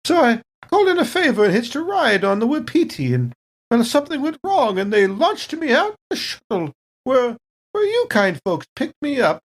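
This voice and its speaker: A man's voice: extremely high pitch, wildly swooping pitch, normal speed, heavily acted. A man voicing a genteel, scatterbrained lady android tourist in a tabletop game, polite and rambling. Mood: kindly, apologetic. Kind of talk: tabletop role-play acting.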